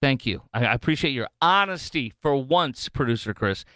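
A man's voice: mid-range voice, animated, moderate pace, a bit bright, smooth, no room sound, studio quality. A man with an American accent hosting talk radio in an opinionated, jokey, emphatic way, often wandering off on digressions. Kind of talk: unscripted talk radio monologue with live ad reads.

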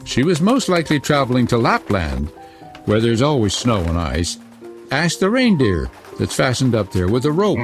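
Man putting on little talking pigeons, high voice, sing-song, storybook. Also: wildly swooping pitch, normal speed, soft background music. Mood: eager. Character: cooing wood pigeons, gentle and small.

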